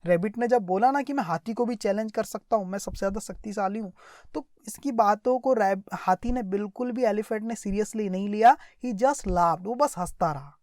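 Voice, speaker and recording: A woman's voice: medium pitch, normal speed, darkish tone, dry recording, studio quality. A woman with an Indian accent giving a translated story lesson, explaining phrase by phrase and often repeating herself to check understanding. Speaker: English teacher.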